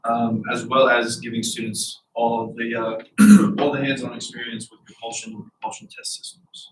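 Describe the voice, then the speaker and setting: A man's voice: medium pitch, normal speed. A young man with a congested voice presenting his team's rocket motor design in a classroom. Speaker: propulsion team lead. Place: lecture classroom. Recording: echoey room, distant, noisy.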